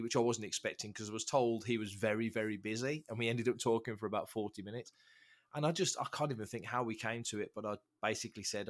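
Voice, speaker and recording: A middle-aged man with a slightly rough, congested voice from a cold, telling anecdotes casually as a podcast guest. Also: on the low side. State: getting over a cold, congested. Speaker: podcast guest, fan-site writer and interviewer.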